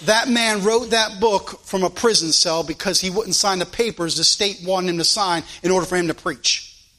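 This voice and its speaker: A man's voice: high voice, moderate pace, sharp tone. A man preaching a sermon with fervent, emphatic delivery and many rhetorical questions, in a church. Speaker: pastor. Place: church sanctuary. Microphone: live stream recording.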